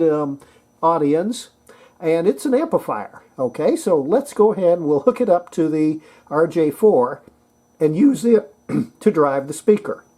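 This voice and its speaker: A presenter giving a casual hands-on technical demonstration, thinking aloud in a halting way. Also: mid-range voice, darkish tone, slightly rough. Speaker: presenter demonstrating antique radios.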